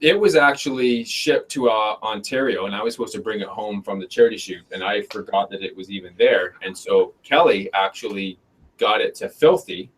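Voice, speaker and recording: A man from Canada co-hosting a casual podcast, talking in a relaxed, rambling, joking way in a small room. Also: lowish voice, fairly quick, a little hiss or hum, poor audio. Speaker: podcast co-host. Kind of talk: casual chat about shooting. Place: small bedroom, indoors.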